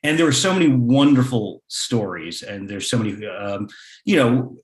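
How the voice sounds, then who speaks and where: A man speaking as a playwright on a panel over a video call, telling stories in a halting, conversational way. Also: mid-range voice, expressive, reverberant.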